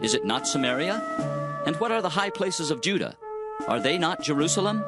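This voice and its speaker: A man's voice: on the high side, sing-song, brisk. A man playing an impassioned prophet in a dramatized scripture reading, declamatory and theatrical as he laments and warns his people, with music behind. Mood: accusing. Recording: music under the voice.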